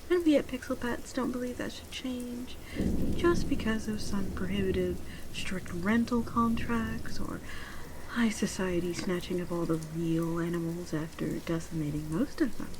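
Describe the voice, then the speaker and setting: A woman playing a polite, genteel pet shop saleswoman in a soft-spoken ASMR roleplay, measured and often near a whisper. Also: medium pitch, close to an everyday voice. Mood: earnest sales pitch. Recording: clearly audible ambient background noise.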